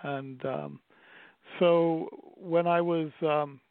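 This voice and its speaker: A middle-aged man, a theater owner speaking as a radio interview guest, pausing often with fillers and restarting his sentences. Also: a little high, steady tone, smooth, dry recording. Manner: halting, many fillers and restarts. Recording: dry, tinny phone-like line.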